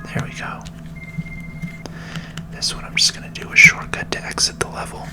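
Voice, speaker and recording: ASMR gaming host: steady breathy whisper, soothing and patient commentary, reassuring delivery. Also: over music. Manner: gentle, unhurried, reassuring.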